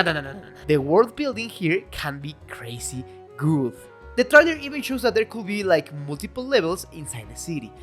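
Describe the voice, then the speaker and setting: A woman with a non-native English accent, talking in an excitable, casual video commentary, swearing now and then and joking at her own expense. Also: fairly low pitch. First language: not English. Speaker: video essay host. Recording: faint background music.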